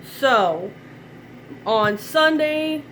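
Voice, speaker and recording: A middle-aged woman sells from her kitchen in a live online demo, her fairly high voice a touch stuffy from a head cold. She talks in loose, rambling asides and chuckles often. A faint hiss sits under the recording. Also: expressive, very slow, fairly bright tone, low-quality recording. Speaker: direct-sales consultant.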